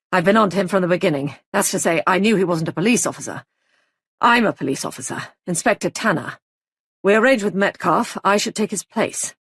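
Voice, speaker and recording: A woman's voice: lowish voice, creaky, slightly rough, dry recording, exaggerated voice. A woman voicing a middle-aged male police inspector who speaks in short, explosive sentences.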